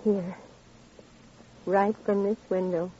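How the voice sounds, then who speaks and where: Mellow, dazed grieving woman. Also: medium pitch, level tone, takes it slowly, husky. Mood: dazed, polite. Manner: dreamy, detached, disjointed. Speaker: actress in a radio crime drama. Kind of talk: old-time radio acting. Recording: muffled, noisy old radio recording.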